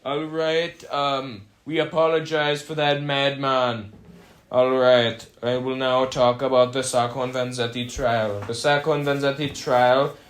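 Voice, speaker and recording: A young man co-hosting an amateur mock 1920s radio broadcast, reading scripted news in a measured, stiff, formal way. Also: mid-range voice, flat delivery.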